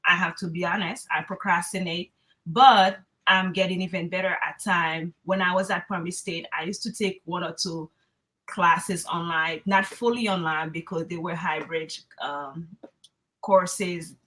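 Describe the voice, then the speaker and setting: Woman, 20s, from Haiti; interview guest, candid and encouraging, bright tone. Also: on the low side, steady tone, measured pace, rough recording. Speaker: online student guest. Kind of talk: interview answers.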